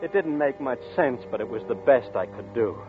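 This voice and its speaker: A man voicing a nervous, brooding young man who tells his own nightmare in tense first person, his pitch rising and falling widely. The sound is a tinny vintage radio broadcast with music underneath. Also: animated, moderate pace, lightly acted. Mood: uneasy. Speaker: narrator and lead character. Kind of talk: 1940s radio acting.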